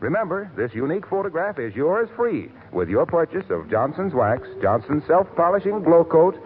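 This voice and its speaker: Animated American radio announcer man. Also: on the high side, normal speed, a little hiss or hum. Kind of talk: 1940s radio advertising announcing. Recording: narrow-band 1940s radio, music behind.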